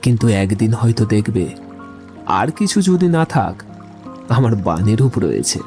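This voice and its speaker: A man playing a romantic, brooding poet in a literary audio drama, speaking in a reflective, measured, lyrical way. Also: fairly low pitch, very animated, a little mellow. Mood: earnest. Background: music.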